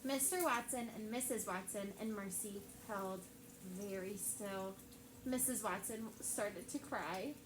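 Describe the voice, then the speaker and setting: A woman teacher reading a picture book aloud to her class with lively character voices. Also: mid-range voice, measured pace. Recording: echoey classroom with background noise.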